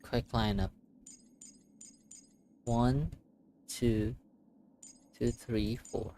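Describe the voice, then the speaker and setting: A streamer giving casual live reaction commentary on a game, invested and excitable, muttering reactions. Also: mid-range voice, very slow, a little hiss or hum.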